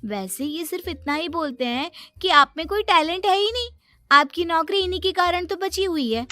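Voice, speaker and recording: A woman's voice: extremely high pitch, lively intonation, normal speed, pushed and bright, no echo, voice pushed up, exaggerated voice. A woman voicing a naive, blunt, truthful young housewife in a cartoon story, with an innocent, apologetic manner.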